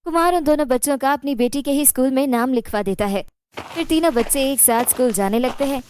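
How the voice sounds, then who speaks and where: High-voiced woman narrating a story. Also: a little fast, darkish tone, slight background noise. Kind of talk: scripted children's story narration.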